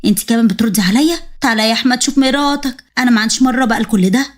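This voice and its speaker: A woman doing a sharp-tongued meddling mother-in-law. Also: on the high side, racing, lightly acted. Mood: scolding. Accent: Egyptian Arabic. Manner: scolding, sarcastic.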